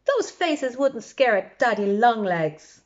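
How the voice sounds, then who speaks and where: A storybook vampire club leader, played by a woman. He is condescending and easily exasperated, with emphatic, very lively delivery on a dull recording. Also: a little high, takes it slowly, pitched above the performer's own voice. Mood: bossy, exasperated. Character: bossy, dismissive male vampire club leader.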